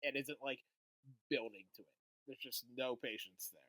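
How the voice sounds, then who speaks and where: A podcast co-host leading a casual chat about TV episodes, relaxed and conversational, often trailing off quietly. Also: mid-range voice, moderate pace, no room sound, poor audio.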